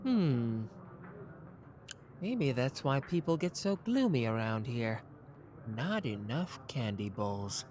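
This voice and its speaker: Casting a performer for a boastful, playful young male sleuth, very animated delivery, soft ASMR roleplay. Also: mid-range voice, slow, pauses often, slight background noise. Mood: smug. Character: smug young male genius detective, candy-loving.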